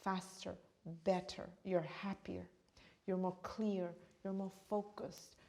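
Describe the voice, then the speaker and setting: A woman's voice: mid-range voice, flat delivery, slow, vocal fry, a little mellow. A middle-aged woman from Uganda whose first language is Swahili, a meditation teacher speaking in an interview in an earnest, flowing way that repeats phrases for emphasis. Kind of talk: interview conversation.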